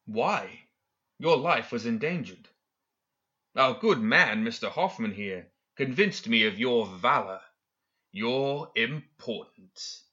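A man's voice: mid-range voice, animated, slow, pushed and bright, lo-fi sound. A man voicing a smug, always-controlled professor villain in an audiobook, speaking with formal, mocking courtesy. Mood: smugly assured. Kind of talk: audiobook character acting.

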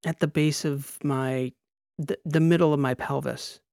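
Mężczyzna jako spięta, zadziorna pani porucznik. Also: male voice, a little high, fairly even intonation, vocal fry, a little mellow, no echo, clean studio sound, pitched above the performer's own voice. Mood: strained, intent. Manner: halting, clipped answers. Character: strained, defiant female army lieutenant recalling combat drop.

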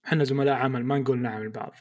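A man's voice: medium pitch, steady tone. A young man co-hosting a podcast, joking and teasing in casual chat. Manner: joking, fast-talking, teasing. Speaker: podcast co-host.

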